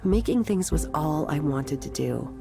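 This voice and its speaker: An actress playing a discouraged, anxious artist confiding her self-doubt in a visual novel, in a halting, confessional way. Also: deep voice, lively intonation, heavily acted. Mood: frustrated, hurt. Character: discouraged, anxious young woman artist in therapy. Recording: game dialogue under clear music.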